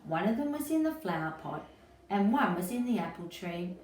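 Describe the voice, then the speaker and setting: A woman voicing a kind, earnest male squirrel in a children's storybook, with expressive delivery. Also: medium pitch, normal speed, lightly acted. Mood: reassuring. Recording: roomy echo, distant mic, faint noise.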